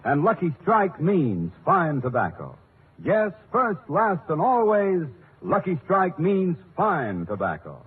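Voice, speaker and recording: A man announcing a 1940s radio commercial in an emphatic, declamatory, measured way. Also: high-pitched, huge pitch swings, breathy edge, phone-quality sound. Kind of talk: 1940s radio advertising read. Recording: thin, distant old radio broadcast, faint hiss.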